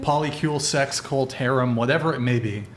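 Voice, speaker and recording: A man hosting a casual panel podcast, relaxed and hesitant, with lots of fillers. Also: mid-range voice, fairly quick, a little hiss or hum. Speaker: podcast host.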